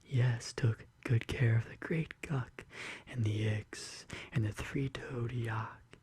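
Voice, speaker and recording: A soft-spoken ASMR host murmuring and whispering intimately and slowly in a soothing bedtime-story style, close to the microphone. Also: mid-range voice, fairly even intonation, slightly rough. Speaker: ASMR video creator and host. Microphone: close studio condenser microphone.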